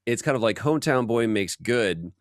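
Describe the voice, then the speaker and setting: A man hosting a casual, chatty workshop interview, telling stories in an informal and animated way. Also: mid-range voice, normal speed, dry recording, clean studio sound. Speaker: host.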